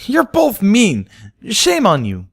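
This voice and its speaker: Man voicing a high, bold little filly friend. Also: huge pitch swings, slow, creaky, fairly bright tone, breathy edge, dry recording, clean studio sound, exaggerated voice. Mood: indignant.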